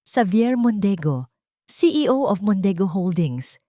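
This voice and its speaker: A cool young billionaire boss from a romance audio story, played by a woman. The delivery is blunt and commanding, with controlled, businesslike phrasing and big swings in pitch. The sound is dry and close. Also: big pitch swings, unhurried, warm tone, dry recording. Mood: curt, confident.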